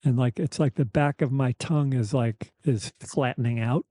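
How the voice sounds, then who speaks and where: A middle-aged or older man speaking candidly and haltingly as a podcast host, with a soft, slightly weakened voice, a slight lisp and wry reflection. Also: mid-range voice, no room sound. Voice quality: slight lisp, thick-tongued articulation. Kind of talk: reflective personal conversation.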